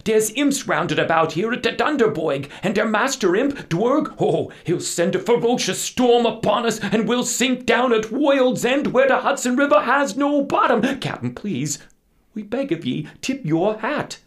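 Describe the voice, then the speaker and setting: A man's voice: on the high side, wildly swooping pitch, takes it slowly, voice pushed up, full character voice. A man voicing a small, superstitious sailor in a storytelling, pleading urgently in quaint old-fashioned speech. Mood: frantic pleading. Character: frantic superstitious little Dutch sailor, pleading.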